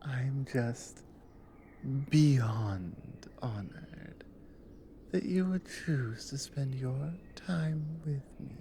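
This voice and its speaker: A woman playing a devoted, protective Nordic adventurer wife in an intimate ASMR roleplay, drowsy and tender, breathy and often slipping into a whisper. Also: rumbling low, a little hiss or hum, heavily acted. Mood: drowsy affection. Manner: slow, soft, tender with pauses.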